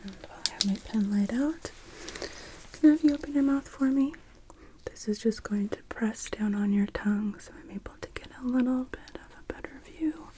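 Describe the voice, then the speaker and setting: A woman whispering softly and breathily as a doctor in an ASMR medical roleplay, giving gentle, reassuring, unhurried instructions. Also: fairly high pitch, slight background noise, poor audio. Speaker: ASMR performer playing a doctor.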